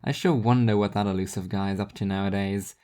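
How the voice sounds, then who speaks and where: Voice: sits low, fairly even intonation, fast, dark tone. A narrator reading scripted first-person literary fiction in an introspective, wry manner.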